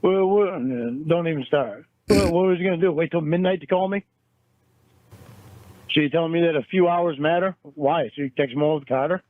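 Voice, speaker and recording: An accusing man repeats his questions over and over, tripping on his words as he presses his point. His voice is fairly high for a man and comes through a thin, tinny phone line. The sound is dry, with no room around it. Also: fairly quick, no echo. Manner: repetitive, accusing, stumbling. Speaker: caller in a recorded phone argument. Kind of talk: heated phone argument.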